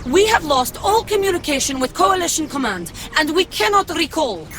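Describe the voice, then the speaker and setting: A woman's voice: high voice, sing-song, normal speed, background noise. A woman playing a disciplined military mech commander in a video game, giving formal, clipped radio reports. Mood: urgent, firm.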